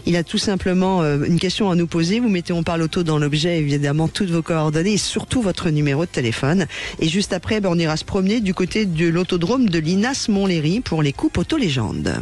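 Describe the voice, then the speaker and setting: A woman co-hosting a radio talk show about cars, lively as she announces the next segments and explains things. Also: lowish voice, brisk. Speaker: radio co-host. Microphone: studio microphone.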